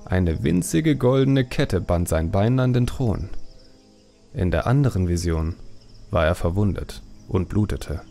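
A man's voice: deep voice, gravelly, mellow. A man reading a fantasy audiobook as a dramatic, scripted narration. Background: faint music.

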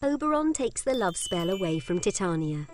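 A woman narrating a children's story in simple, clearly scripted lines with expressive delivery. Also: mid-range voice, normal speed. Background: music.

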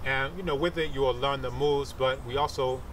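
A man's voice: mid-range voice, steady tone, normal speed. Guy in his 30s explaining stuff casually outside, bit distant, insects and traffic behind him. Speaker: researcher and martial arts teacher. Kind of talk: informal explanatory interview talk. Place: outdoors among trees and bushes. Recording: outdoor recording with insects and traffic noise.

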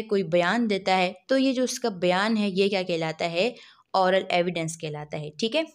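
A woman's voice: medium pitch, a little mellow. A woman with a Pakistani accent giving an explanatory lecture, teaching by posing questions and then answering them. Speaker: law lecturer. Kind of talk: explanatory lecture, narrated over slides.

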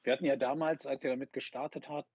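Male interviewer, fifties, husky mid-pitched voice, conversational, dry close sound. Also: steady tone, quick pace, darkish tone, no room sound. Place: bright indoor room. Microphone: video call.

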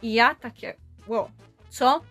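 A young woman vlogging to camera in a small room, telling a story in an animated, chatty way. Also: fairly high pitch, slow, soft background music. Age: young adult. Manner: animated, chatty, gesturing with her hands.

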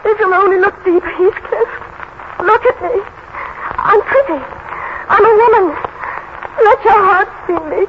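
An actress playing a lovesick young wife, pleading breathlessly in melodramatic 1930s radio style. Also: female voice, extremely high pitch, measured pace, long pauses. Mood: desperate, adoring. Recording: muffled, noisy 1939 radio recording.

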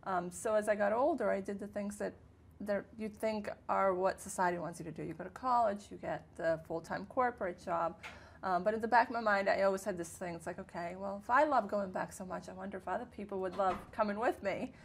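A woman in her thirties who grew up in Italy talks about her work in a relaxed, chatty way, with lots of ums and uhs. Her voice sits in a middle range with a gravelly, fry-tinged texture. She speaks into a lapel mic on a small indoor studio set. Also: mid-range voice, brisk. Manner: warm, chatty, frequent fillers. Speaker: guest, tour business owner. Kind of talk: casual interview talk.

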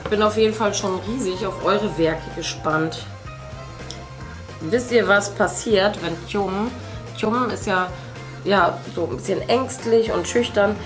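A woman's voice: mid-range voice, a little slow, over music. A middle-aged woman, chatting casually as she paints indoors. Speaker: host, mother. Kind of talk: casual chat while painting. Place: indoor room at a craft table.

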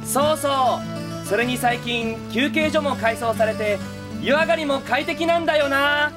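Mild anime high school boy, kinda hesitant and understated, music in back. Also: unhurried. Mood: relaxed. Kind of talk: anime audio drama acting.

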